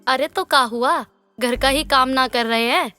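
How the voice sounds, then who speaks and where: A homely, devoted village wife, voiced by a woman in a cartoon. Her voice is high and dry, with faint music behind. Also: normal speed, pushed and bright, no echo. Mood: devoted, reassuring. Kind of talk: cartoon dialogue.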